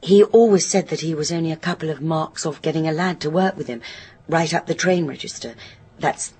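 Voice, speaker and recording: A woman's voice: low-pitched, fairly quick, darkish tone, slightly rough. A woman playing a troubled, guilt-ridden woman in her fifties in a radio drama, hesitant and brittle. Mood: reflective. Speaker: actor in a radio play. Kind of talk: naturalistic radio drama acting, confessional.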